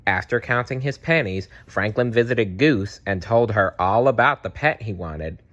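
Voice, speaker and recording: A man narrating a children's storybook aloud in an expressive, deliberate way. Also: on the low side.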